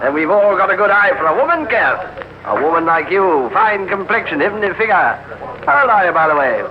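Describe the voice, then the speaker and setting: A man's voice: sits high, expressive, brisk. A man acting a lusty, blustering English army officer in an old radio drama, with hearty, leering, old-fashioned speech. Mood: leering, jovial. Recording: muffled, noisy old radio recording.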